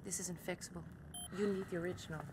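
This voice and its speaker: An actress playing a wary, questioning hacker accomplice in a video game, speaking directly. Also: female voice, on the low side, steady tone, fast talker, natural voice. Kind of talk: cinematic video game acting. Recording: clearly noisy background.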